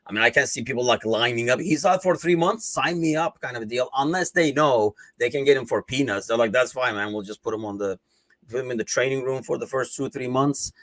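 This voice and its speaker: A man's voice: mid-range voice, a little fast, fairly bright tone, no echo. A man with a Turkish accent, speaking English as a second language, hosting a casual football chat in a rambling, conversational way full of fillers. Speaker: podcast host.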